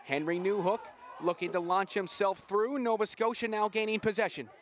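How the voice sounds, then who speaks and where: A man doing live, excitable play-by-play commentary on a rugby sevens match. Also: sits high, normal speed. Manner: excitable, builds to shouts at big plays.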